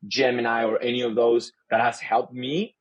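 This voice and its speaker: A man's voice: medium pitch, little pitch movement, normal speed, muffled recording. A man whose first language is Spanish, a sales leader guest chatting earnestly on a podcast and often restarting his words. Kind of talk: casual podcast conversation.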